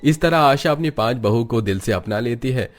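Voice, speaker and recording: A man's voice: mid-range voice, lively intonation, moderate pace, faint music behind. A man reading scripted narration for a cartoon family story as its narrator.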